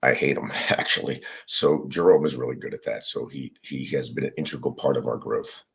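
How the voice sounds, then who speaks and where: A man in his sixties from New Jersey, a business owner talking casually in an interview while fighting a head cold and often clearing his throat. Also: a bass voice, fairly quick. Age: 60s.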